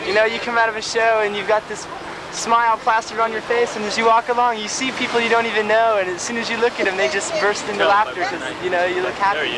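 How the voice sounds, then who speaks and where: A man's voice: sits high, level tone, fast. A man, a concertgoer, rambling upbeat in a casual street interview. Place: outdoors by a concert venue. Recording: outdoor noise behind.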